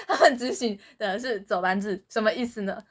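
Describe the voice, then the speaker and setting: A woman's voice: on the high side, wildly swooping pitch, normal speed, slightly rough. A teenage girl with a Taiwanese Mandarin accent, giggly, casually retelling a story in a chatty way. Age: teens. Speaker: book reviewer retelling the plot.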